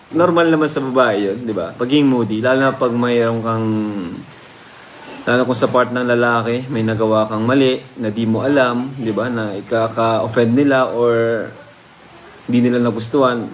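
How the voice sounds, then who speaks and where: A man's voice: mid-range voice, normal speed. Casual male reaction host, noisy background. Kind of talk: casual reaction commentary to viewers. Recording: clear background noise.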